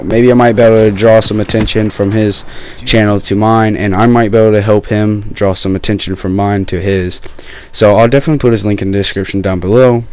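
A young man with a sore throat, talking low and rambling casually over gameplay footage on his gaming channel. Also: a little mellow. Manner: rambling, many fillers and restarts.

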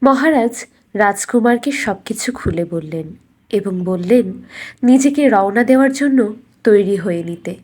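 A woman narrating a scripted bedtime fairy tale as a storyteller, in a measured, expressive way. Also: mid-range voice, husky.

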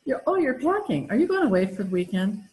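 A middle-aged woman playing a gentle, fretful wife as the straight woman in an amateur old time radio sitcom. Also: a little high, sing-song, fast talker. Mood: curious, worried. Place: indoor room at home, video call. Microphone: computer mic on a video call.

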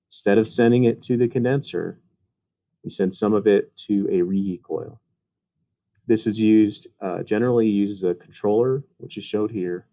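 Low, mellow-voiced man explaining slides. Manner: casual, uses fillers. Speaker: HVAC instructor. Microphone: voice-over on slides.

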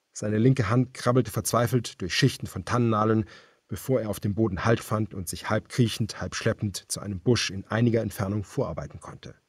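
A male audiobook narrator reads scripted thriller prose. His voice is fairly low and husky, grave and measured, in a dry, close recording. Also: no room sound.

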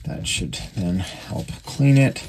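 A man giving casual hands-on repair commentary, halting and thinking aloud as he works at an indoor bench. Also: fairly low pitch. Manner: unscripted, halting, thinking aloud while working. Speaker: radio repairer demonstrating his work. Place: indoor workbench. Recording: noisy workshop recording with clicks.

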